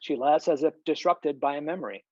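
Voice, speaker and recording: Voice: medium pitch, brisk, a little mellow, dry recording, highs cut off. A narrator reading screenplay stage directions for a table read over a video call.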